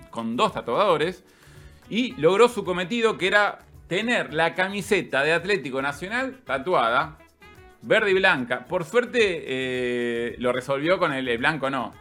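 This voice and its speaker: A man with an Argentine accent hosting a casual sports chat, teasing and animated as he tells stories. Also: a little high. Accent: Argentine Spanish.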